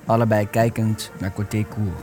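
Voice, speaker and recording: Low-voiced male radio play narrator. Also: flat delivery, moderate pace, warm tone, faint music behind. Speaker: narrator reading stage directions.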